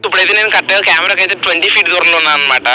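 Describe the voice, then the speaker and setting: Guy talking real fast thru a walkie talkie outside, music blasting behind. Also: high voice, sharp tone, rough recording. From India. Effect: walkie-talkie radio. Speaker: video presenter. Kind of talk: casual range-test demo. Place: outdoors. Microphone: walkie-talkie picked up by camera.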